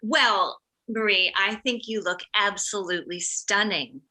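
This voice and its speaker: A woman's voice: medium pitch, a little slow, bright, forceful tone, rough recording. A woman playing a gracious, over-polite mother of the bride in a comedy table read, effusive in manner. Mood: gushing.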